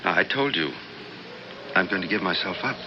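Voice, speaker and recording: An actor playing a guilt-ridden husband in a radio drama, halting and protesting. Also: male voice, low-pitched, lively intonation. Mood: resigned, uneasy. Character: guilt-ridden husband, a reluctant murderer pleading with wife. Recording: muffled, noisy 1970s radio recording.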